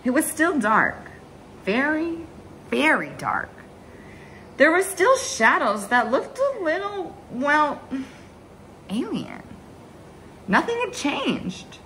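A young woman reads a children's storybook at an unhurried pace. Her high voice swings widely and dramatically, with drawn-out words and hushed asides. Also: very animated, slight background noise, low-quality recording. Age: young adult. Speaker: story time host reading a picture book aloud. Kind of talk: expressive children's storybook read-aloud. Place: small bright room indoors at home.

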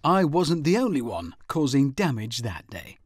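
A man's voice: medium pitch, very animated, moderate pace, noisy background. An English man in his fifties, a car show presenter with a dry, sardonic delivery. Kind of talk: wry voice-over and in-car commentary. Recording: loud theme music under voice.

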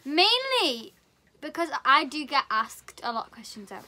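A young woman chats away loudly, barely pausing for breath. Her voice sits high and bright, close to the camera in a small bedroom. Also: sing-song. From Merseyside, England. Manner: loud, chatty, talks a lot. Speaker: vlogger, dancer. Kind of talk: casual group chat. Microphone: camera close in front.

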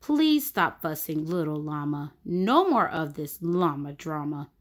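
A woman voicing a patient mother llama in a storybook, firm but gentle and coaxing. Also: deep voice, very animated, measured pace, pitched below the performer's own voice, full character voice. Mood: gently pleading.

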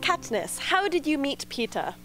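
A woman playing a brisk film director who interviews rebels in an amateur radio play. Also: high voice, big pitch swings, a bit bright, faint music behind, rough recording.

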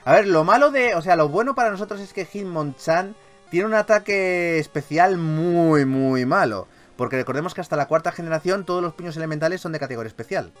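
A man with an accent from Spain doing excitable, theatrical game commentary, swearing freely and repeating his phrases. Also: on the high side, a little fast, soft background music. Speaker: gameplay video host.

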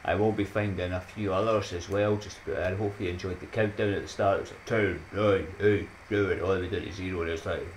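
Low-voiced Scottish man vlogging. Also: steady tone, fast, few pauses, a little hiss or hum, poor audio. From Scotland. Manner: rambling, upbeat, laughs at own jokes, motivational sayings. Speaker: YouTuber. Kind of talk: casual chatty vlog outro. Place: small dim room at home.

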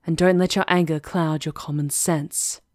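A woman acting a composed, sly witch conspirator with a measured, knowing manner in an ASMR fantasy roleplay. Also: low-pitched, fairly even intonation, no room sound, studio quality, close to an everyday voice. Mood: cautioning. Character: composed, sly female witch conspirator plotting against a countess.

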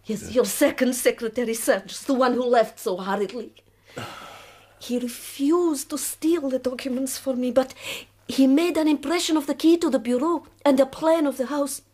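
An actress playing a proud, weary middle-aged revolutionary woman in a radio drama, speaking slowly and deliberately. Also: high-pitched, dark tone. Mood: bitter, grave. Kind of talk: BBC radio drama acting.

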